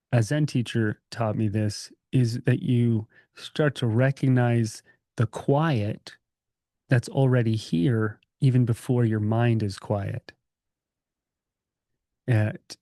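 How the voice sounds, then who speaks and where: A man leading a guided meditation as a teacher, speaking in a gentle, calming, informal way with pauses. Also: medium pitch, no echo, studio quality. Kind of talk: guided meditation, conversational and unscripted.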